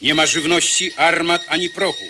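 An actor playing an urgent, declamatory wartime radio announcer reading bulletins in a radio drama. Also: male voice, fairly high pitch, steady tone, normal speed, lightly acted. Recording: loud background noise over broadcast.

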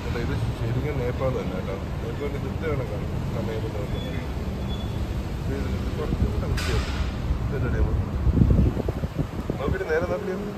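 A man's voice: medium pitch, lively intonation, a little fast, long pauses. A man in his thirties with a Malayali accent, chatting casually as he walks a city street for a travel vlog. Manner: chatty, thinking aloud while walking. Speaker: travel vlogger. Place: outdoors on a busy city street. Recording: noisy street ambience.

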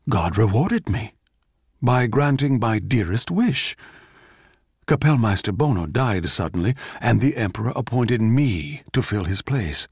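An envious, ageing composer making a theatrical radio-drama confession. His low-pitched voice swoops widely as he broods in anguish, and the delivery is slow and measured. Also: husky, no room sound, studio quality, exaggerated voice. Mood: confiding, uneasy. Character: bitter ageing male court composer, anguished, envious, confiding. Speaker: actor reading a stage play as narrator. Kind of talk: dramatic scripted monologue for radio.

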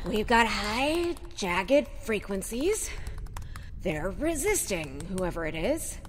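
An actress playing a paranoid, anxious conspiracy-theorist recluse in an audio drama, with an emphatic, blurting manner. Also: female voice, mid-range voice, big pitch swings, slow pace. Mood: anxious, focused. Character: paranoid, jittery female conspiracy-theorist recluse with tech know-how. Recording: reverberant, some background noise.

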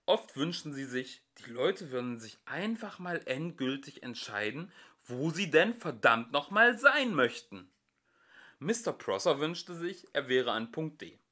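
A young man narrates a story in a homemade audiobook. His voice is bright and fairly high, and his reading bounces with lively, shifting emphasis. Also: measured pace. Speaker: amateur audiobook reader.

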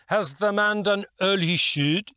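Guy doing a thick scottish brogue, teasing old soldier, loud and bright, pretty high. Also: unhurried, smooth, no echo, clean studio sound. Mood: joshing.

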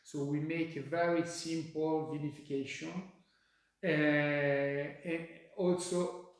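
A middle-aged Italian man whose first language is Italian, answering interview questions in halting English with many fillers, recorded by a far camera in an indoor tasting room. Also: on the high side, steady tone. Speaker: winemaker. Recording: very echoey room.

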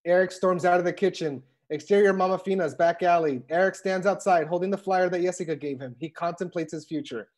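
A woman's voice: fairly low pitch, brisk, few pauses, darkish tone. A woman reading scripted stage directions as narration for a table read. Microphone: video call.

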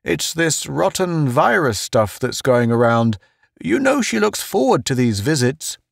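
A man voicing a solemn, judicious space service visitor in an audiobook, speaking in a grave, measured way. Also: on the high side, sing-song, vocal fry, dry recording, studio quality, pitched above the performer's own voice, exaggerated voice. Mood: conciliatory. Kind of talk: audiobook character voice.

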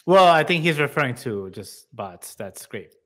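A man's voice: on the high side, expressive, normal speed, fairly bright tone. A man co-hosting a talk show on a video call, adding casual commentary.

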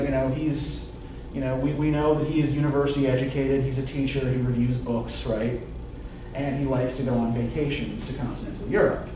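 A man's voice: medium pitch, darkish tone. A middle-aged man giving a university literature lecture in a questioning way, often checking with "right?". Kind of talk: classroom lecture. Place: classroom, indoors. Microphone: distant camera microphone, speaking through face mask. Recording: roomy classroom from far off, faint noise.

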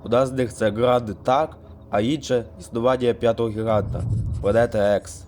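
A man playing a calm storyteller in a cartoon, explaining planetary history in a measured, scripted way. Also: mid-range voice, slight background noise, voice pushed down, close to an everyday voice. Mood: explanatory. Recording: music behind the voice.

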